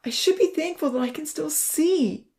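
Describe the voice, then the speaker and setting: A woman voicing a young burned man who is anguished and crying, his breathy whisper breaking into sobs. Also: a little high, expressive, measured pace, mellow, pitched above the performer's own voice. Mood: sobbing.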